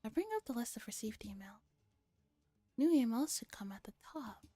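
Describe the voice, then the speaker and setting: A woman streamer reading a visual novel aloud in a hushed, dramatic ASMR style, often slipping into a whisper. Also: fairly high pitch, animated, normal speed, no echo.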